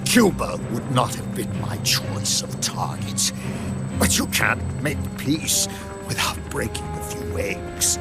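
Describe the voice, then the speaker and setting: An actor playing a middle-aged fanatical villain in a video game, gravelly and halting, theatrically serene and smug as he speaks like a dying man. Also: medium pitch, lively intonation, over loud music. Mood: scornful, certain. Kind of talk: dramatic video game cutscene acting.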